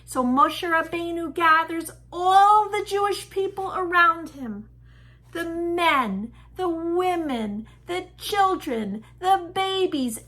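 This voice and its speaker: A woman teacher telling a story to young children with deliberate, expressive phrasing. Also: sits high.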